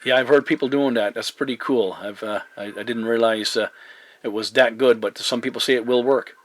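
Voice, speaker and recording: A man's voice: medium pitch, steady tone, a little fast, a little hiss or hum. A man from Newfoundland, Canada, chatting casually as a CB radio operator, polite and hesitant, using radio procedure words. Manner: hesitant, polite, spells in phonetic alphabet. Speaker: CB radio operator and video maker. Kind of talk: casual radio contact chat with radio protocol. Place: home radio desk, indoors. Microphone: camera pointed at the radio.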